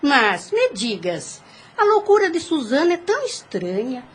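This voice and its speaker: Woman acting a high-voiced, swooping, calculating lady. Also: huge pitch swings, measured pace, full character voice. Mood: inquisitive, suave. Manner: formal, theatrical. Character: haughty inquisitive grown woman, calculating heiress and mother. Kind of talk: religious audio drama acting.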